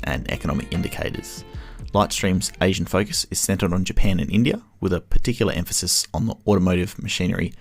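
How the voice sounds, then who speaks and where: A man's voice: low-pitched, creaky. A man hosting a podcast, reading an upbeat, enthusiastic introduction and welcoming his guest. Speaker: podcast host.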